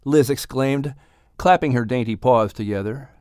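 A woman narrating a children's audiobook with expressive, scripted storytelling. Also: a bass voice, normal speed, dry recording, clean studio sound.

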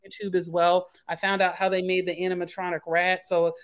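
A woman's voice: on the low side, flat delivery, fairly quick. A woman from Philadelphia chatting as a podcast guest. She rambles, with many fillers and restarts. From Philadelphia, USA. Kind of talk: casual podcast chat.

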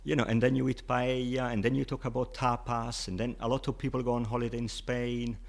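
A man's voice: medium pitch, level tone, brisk, creaky. A man with an Italian accent, a Spanish teacher, explaining patiently as an interview guest and often saying "you know".

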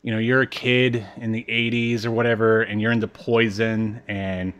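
A man's voice: lowish voice, level tone, normal speed, fairly bright tone. A man co-hosting a podcast, chatting casually and comedically with a joking, sarcastic way of talking. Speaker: podcast co-host. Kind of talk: casual comedic chat about a reality TV show.

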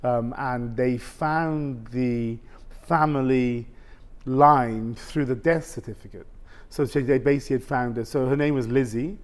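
A man in his fifties, a guest telling a personal story in casual conversation, halting and full of restarts. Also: mid-range voice, fairly even intonation. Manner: halting, with many restarts and "basically". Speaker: interview guest.

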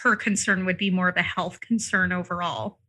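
A woman talking casually on a podcast about singing, often saying "like" and "um," her throat a little dry and in need of clearing. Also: fairly low pitch, fairly even intonation, moderate pace, bright, forceful tone. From Arizona, US. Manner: chatty, many fillers like "like" and "um". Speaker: vocal coach guest.

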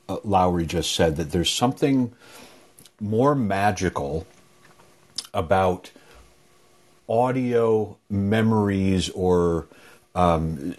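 A man who produces podcasts, talking in a relaxed, conversational way on a video call panel. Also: sits low. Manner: relaxed, conversational, some restarts.

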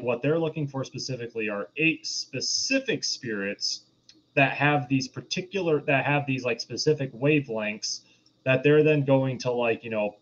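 A man's voice: mid-range voice, highs cut off. A man co-hosting a podcast, explaining things in a rambling, casual film discussion. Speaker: podcast co-host.

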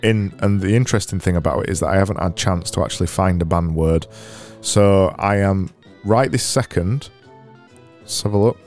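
A man with a Barnsley accent hosting his own podcast, talking alone in a sarcastic, deadpan, self-mocking way. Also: low voice, moderate pace, soft background music. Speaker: podcast host. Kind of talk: solo comedic podcast monologue.

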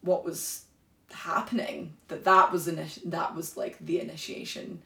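A woman's voice: lowish voice, flat delivery, normal speed, vocal fry, roomy sound. A woman guest on a podcast telling her personal story in a flowing, candid way, full of "like" and "you know". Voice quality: warm, flowing delivery with many "like" and "you know" fillers. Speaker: guest, sacred singer.

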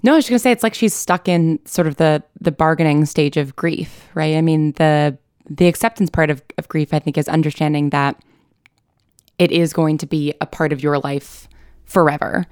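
Female interview guest, deep and clear voice, dry close sound, articulate and analytical. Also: fairly even intonation, moderate pace, darkish tone, no room sound. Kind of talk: thoughtful interview conversation.

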